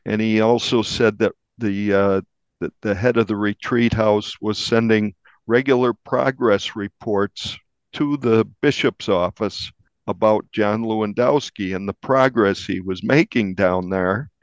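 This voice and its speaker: A man speaking as a journalist guest, explaining deliberately in an interview and restating points for emphasis. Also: lowish voice, steady tone, smooth, no echo, highs cut off.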